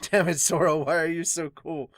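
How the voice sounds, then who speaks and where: A man chatting casually as a podcast host, reading comments aloud and reacting in an animated but halting way. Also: fairly high pitch, no echo, studio quality.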